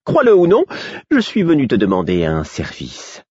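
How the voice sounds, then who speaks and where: A man's voice: medium pitch, wildly swooping pitch, moderate pace, a little mellow, husky, no echo, dull audio, voice pushed up. A man voicing a flamboyant, mocking court jester who speaks in riddles. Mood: urgent. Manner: mocking, theatrical.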